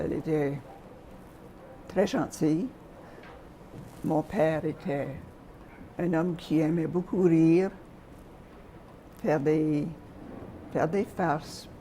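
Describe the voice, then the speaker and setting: An older woman from Calgary, Canada, recalling her childhood and early teaching years as an interview guest, speaking softly with frequent hesitations and pauses. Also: low voice, fairly even intonation, a little hiss or hum. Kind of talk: reminiscing in an interview.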